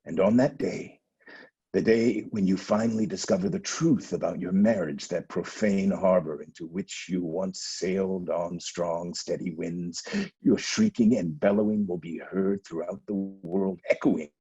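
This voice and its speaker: An actor playing a stern, foreboding blind old prophet in a dramatic reading over a video call, with a low, husky, deliberate delivery. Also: male voice, dark tone. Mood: ominous prophecy. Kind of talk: Zoom dramatic reading of a Greek tragedy. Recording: dull lo-fi video call audio.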